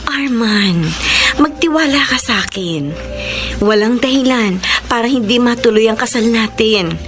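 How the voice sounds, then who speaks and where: A woman's voice: fairly high pitch, a little slow, faint music behind, close to an everyday voice. A woman playing a playful young bride-to-be. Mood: teasing. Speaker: radio drama actress. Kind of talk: classic radio drama acting.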